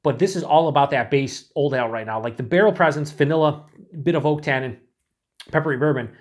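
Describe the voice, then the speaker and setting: A middle-aged man reviewing a beer alone to camera in a small room. He talks in a chatty, excited way, swears freely and sometimes drops to breathy whispers. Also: fairly high pitch, fairly quick. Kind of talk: casual solo review to camera.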